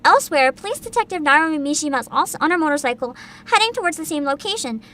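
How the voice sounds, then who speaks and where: A woman's voice: high-pitched, expressive, very fast, pushed and bright. A young woman streaming as a VTuber, chatting casually with her viewers, giggling often and reading game descriptions aloud. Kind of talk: casual livestream chat.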